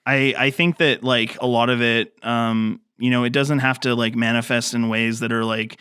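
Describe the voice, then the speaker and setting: A man's voice: mid-range voice, level tone, normal speed, pushed and bright, clear, resonant tone, dry recording, clean studio sound. A man in his thirties, a filmmaker guest on a podcast, talking casually and rambling with many fillers and restarts. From grew up in the mountains. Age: 30s.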